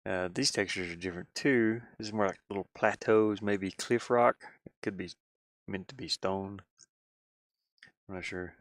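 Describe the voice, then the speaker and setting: A man chatting casually like a streamer, thinking aloud in a halting, rambling way. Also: fairly low pitch, no room sound, clean studio sound. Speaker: streamer and hobby game designer. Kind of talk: casual rambling livestream chat.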